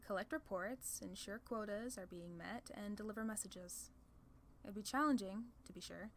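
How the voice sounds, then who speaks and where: A woman's voice: mid-range voice, slight background noise, natural voice. A woman voicing a playful, proud demon ruler of hell in a casual, sardonic ASMR roleplay. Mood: persuasive, businesslike. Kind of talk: ASMR roleplay audio drama.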